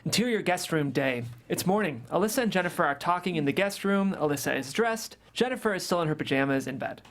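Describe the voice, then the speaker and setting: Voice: medium pitch, animated, fast talker. A narrator reading stage directions aloud in a script table read, plain and matter-of-fact.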